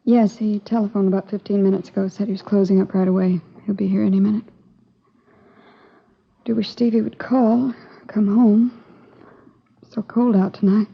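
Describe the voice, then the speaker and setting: Fretful american mom in old radio play, breathy husky, kinda monotone, muffled sound. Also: female voice, medium pitch, normal speed, long pauses. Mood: anxious, subdued. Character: anxious, fretful mother of a missing boy. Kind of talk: 1950s radio drama acting.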